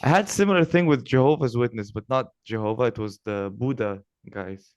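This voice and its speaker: A man's voice: medium pitch, lively intonation, fast, darkish tone, slight background noise. A man whose first language is Arabic, co-hosting a podcast and telling wry stories in casual chat. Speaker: podcast co-host.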